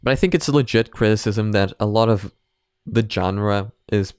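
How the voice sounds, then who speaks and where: A man's voice: on the low side, moderate pace, clear, resonant tone, no room sound, clean studio sound. A young man giving casual, off-the-cuff commentary as a video host, opinionated and emphatic with some mild swearing.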